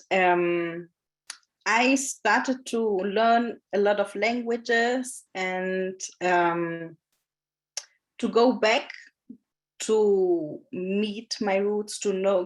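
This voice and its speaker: Slow, hesitant woman. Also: medium pitch, steady tone. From Germany. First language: German. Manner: hesitant, thoughtful, many pauses and fillers. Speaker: webinar panelist. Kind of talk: panel discussion answer.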